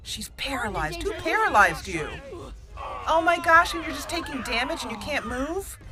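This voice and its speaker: A woman giving casual, expressive commentary as she roleplays through a video game, talking to the characters as she plays. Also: sits high, moderate pace, over music. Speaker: gaming channel host doing a let's play.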